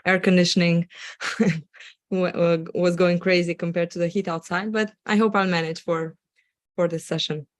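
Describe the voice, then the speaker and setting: Romanian-accented woman presenter, low, even delivery, dry sound, a bit squeaky from a cold, lots of hesitations. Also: moderate pace, no echo. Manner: hesitant, many ums and restarts. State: has a cold, slightly squeaky voice. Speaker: guest expert presenter. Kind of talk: live webinar presentation.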